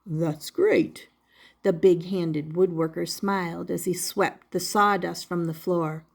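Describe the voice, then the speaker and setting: An older woman reading a children's story expressively, in a deliberate manner suited to young listeners. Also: on the low side, fairly even intonation, vocal fry. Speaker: storybook reader.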